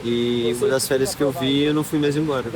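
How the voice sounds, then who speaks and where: A man in his thirties answers interview questions in a calm, reflective way. His voice sits in a middle pitch, and a crowd can be heard around him outdoors. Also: rapid-fire, poor audio. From Minas Gerais, Brazil. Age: 30s. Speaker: interviewee, surfer.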